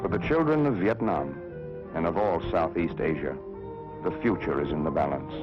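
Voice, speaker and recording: Deep american guy doing 60s propaganda film narration, solemn and emphatic, dull old soundtrack w music under it. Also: expressive, normal speed. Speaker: documentary narrator.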